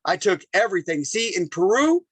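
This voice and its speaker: Podcast guest: man, high voice, animated storyteller who repeats himself. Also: brisk, husky, dry recording. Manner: animated, talks in bursts with repeated phrases. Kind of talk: casual storytelling interview.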